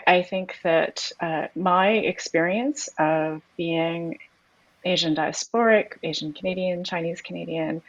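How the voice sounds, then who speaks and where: A woman academic speaking as a panelist over a video call, halting and repeating words as she starts sentences. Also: lowish voice, dry recording, lo-fi sound. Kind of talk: panel discussion.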